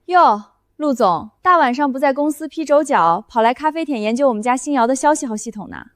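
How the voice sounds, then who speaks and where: A woman plays a protective, sharp-tongued young friend in an animated drama. She mocks theatrically in a high voice, laying the sarcasm on thick. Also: fairly quick. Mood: mocking. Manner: mocking, theatrical. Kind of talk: animated short-drama dialogue.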